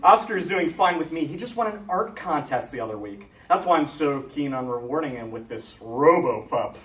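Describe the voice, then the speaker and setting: A man's voice: a little high, expressive, moderate pace, fairly bright tone, distant mic. A man playing a goofy, overeager dad. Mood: laughing. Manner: gushing, over-the-top enthusiasm. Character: goofy, eager dad, childishly excitable. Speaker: single father at a toy launch. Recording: echoey room, voice off-mic.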